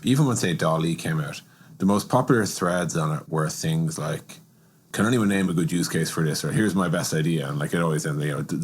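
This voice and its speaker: A man's voice: sits low, breathy edge. A man hosting a podcast conversation, offering his own opinions and thinking aloud through examples before putting questions. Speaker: podcast host.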